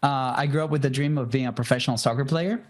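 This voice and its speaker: A man's voice: medium pitch, fast talker. A man in his thirties from Venezuela with a Venezuelan Spanish accent, a native Spanish speaker, telling his life story as a podcast guest in a conversational way with many fillers and restarts. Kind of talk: casual storytelling interview.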